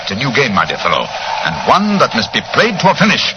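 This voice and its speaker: An actor playing a shrewd, eager gentleman detective, courteous and probing with dramatic flourishes, in a 1940s radio drama. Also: mid-range voice, huge pitch swings, fast talker. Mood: exhilarated. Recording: muffled, noisy 1940s radio recording.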